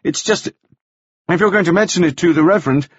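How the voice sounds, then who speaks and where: High jittery dandy guy, a man doing the voice, stammering and pleading, husky. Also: brisk, no room sound. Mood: anxious. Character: nervous, flustered dandy man. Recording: dull lo-fi recording.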